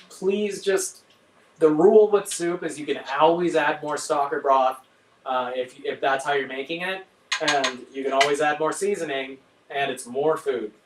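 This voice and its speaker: A man with a slightly high voice talks through cooking steps in an informal, think-aloud way. He sounds like a chef leading a casual cook-along. He is a bit away from the mic in an echoey room, with faint noise. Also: distant mic. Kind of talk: casual live cooking instruction.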